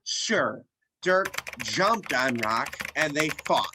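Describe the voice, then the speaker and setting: A woman's voice: low voice, animated, exaggerated voice. A woman playing an earnest, easily flustered kid writing a story in a radio play. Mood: narrating. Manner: narrates haltingly, easily flustered. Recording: noisy recording with clicks.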